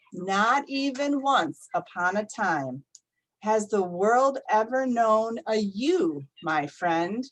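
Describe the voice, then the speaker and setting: A woman reading picture books aloud to young children, expressive and deliberate, often putting questions to her listeners. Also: medium pitch, rough recording. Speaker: storytime reader for young children. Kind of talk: animated picture-book read-aloud to children.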